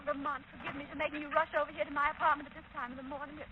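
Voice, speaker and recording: High-voiced, anxious society woman played by an actress. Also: level tone, racing, lightly acted. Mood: distressed. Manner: earnest, agitated. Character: anxious society woman, loyal crime-solving assistant. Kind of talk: 1930s radio acting. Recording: muffled, hissy 1930s radio recording.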